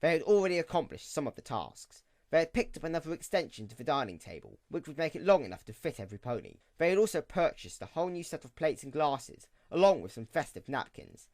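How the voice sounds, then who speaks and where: Lively male story narrator. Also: fairly high pitch, fast, few pauses.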